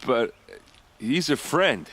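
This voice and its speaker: A man playing a hard-drinking, loyal guard captain in a video game, talking informally and a little haltingly. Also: medium pitch, lively intonation, a bit bright, slight background noise. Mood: bewildered. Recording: music behind the voice.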